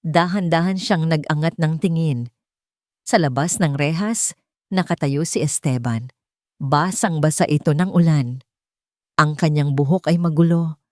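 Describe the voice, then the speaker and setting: A woman's voice: low-pitched, a little slow, pauses often, darkish tone, dry recording, clean studio sound. A woman with a Filipino accent narrating a romantic drama in a scripted, dramatic storytelling style. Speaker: narrator of love stories.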